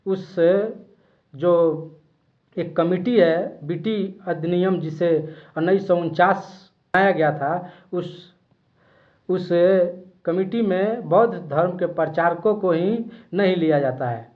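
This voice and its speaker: A man's voice: sits high, takes it slowly, dull audio. A man delivering explanatory news commentary, arguing a case with rhetorical questions.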